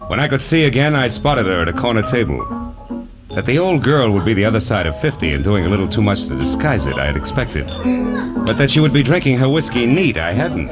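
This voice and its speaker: A hardboiled private eye from old radio noir. His voice sits low and he delivers everything with dry sarcasm and clipped cynicism. It is a vintage broadcast with music behind him. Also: big pitch swings, brisk. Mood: wry narration. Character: cynical, wisecracking male private detective. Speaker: lead actor and narrator. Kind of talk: 1940s radio noir detective acting.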